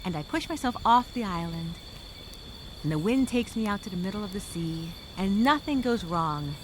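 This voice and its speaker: Woman acting female castaway, journal monologue, wry, weary, sarcastic, mid-pitched, audio drama, clear insect noise behind. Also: animated, normal speed, natural voice. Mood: sarcastic daydreaming. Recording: noisy, insects and crickets chirping behind.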